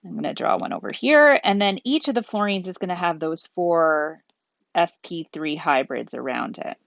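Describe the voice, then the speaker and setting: A woman lecturer explaining material methodically and deliberately, step by step, as she thinks aloud. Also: on the low side, expressive, creaky, no room sound, muffled recording. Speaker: chemistry lecturer.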